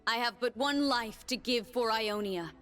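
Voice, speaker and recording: An actress voicing a grave young woman warrior who leads her people in war, speaking deliberately in dramatic video game lines. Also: a little high, fairly bright tone, faint music behind. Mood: solemn resolve.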